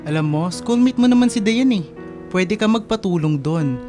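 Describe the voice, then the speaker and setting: Radio drama: actor as young male best friend and boyfriend, joking, coaxing, expressive, clear music bed. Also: high-pitched, normal speed. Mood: persuasive. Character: playful, teasing young boyfriend and loyal best buddy.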